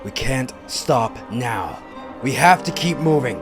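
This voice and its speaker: A man's voice: medium pitch, lively intonation, takes it slowly, music in the background, pitched above the performer's own voice. A man voicing a stubborn, solemn ninja master in a fantasy audiobook, speaking tersely. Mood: insistent. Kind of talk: dramatised fantasy audiobook character.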